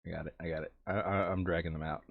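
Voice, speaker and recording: Low-voiced man muttering, dry dull recording. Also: fairly even intonation, fast talker, gravelly, dry recording. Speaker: player setting up a co-op board game campaign. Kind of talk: casual gaming chat, thinking aloud.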